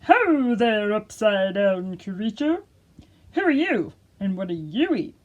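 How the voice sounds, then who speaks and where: A woman voicing a brash, boastful big-mouthed frog puppet for a children's storytime, loud and drawn out. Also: mid-range voice, very animated, dull audio. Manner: loud, drawn-out, shouting questions.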